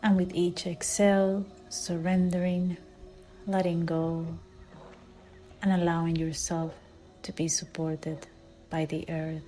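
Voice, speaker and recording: Slow, mellow woman guiding meditation. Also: fairly low pitch, level tone, low-quality recording. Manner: soft, soothing delivery with breathy, near-whispered phrase endings. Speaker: meditation guide. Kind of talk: guided meditation. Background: background music.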